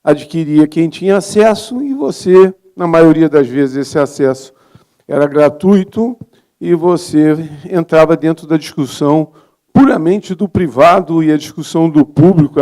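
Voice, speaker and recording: Casting: Brazilian man, 70s, slightly high-pitched for his sex, gravelly, informal conference speaker. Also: dark tone. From Rio de Janeiro, Brazil. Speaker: physician speaking as a congress presenter. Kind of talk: conference talk, informal and reflective.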